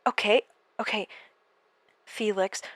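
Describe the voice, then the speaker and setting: A woman's voice: medium pitch, fairly even intonation, slow, fairly bright tone, no room sound. A woman playing an anxious but determined captive therapist in a horror audio drama, with an earnest manner. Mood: alarmed.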